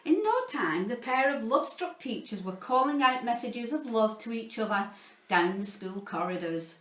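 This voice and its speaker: A woman reading a children's story aloud in an expressive, playful, theatrical way, like a teacher narrating to pupils. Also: fairly high pitch, normal speed. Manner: theatrical, playful, dramatizing sound words. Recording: roomy echo, distant from the mic.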